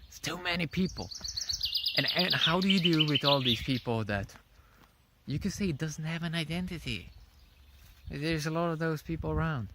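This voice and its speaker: A man giving a casual, unscripted opinion monologue in a rambling, halting way, often repeating his phrases. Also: on the high side, background noise. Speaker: vlogger.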